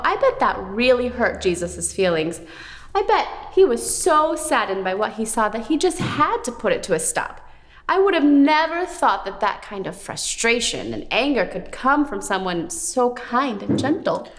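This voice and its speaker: A woman's voice: on the high side, big pitch swings, moderate pace. A woman hosting a scripted children's puppet show, speaking expressively with exaggerated wonder for young viewers.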